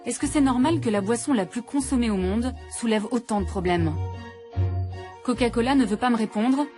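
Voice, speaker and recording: A woman's voice: a little high, moderate pace, over music. A woman from France, a journalist, reading scripted first-person narration for a documentary.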